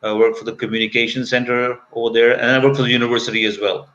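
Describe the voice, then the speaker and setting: A man in his fifties from Kuwait talks as an interview guest in a bright, forceful voice. He recounts his experiences conversationally. The sound is a slightly dull video call. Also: mid-range voice, flat delivery, quick pace. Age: 50s. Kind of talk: conversational personal storytelling.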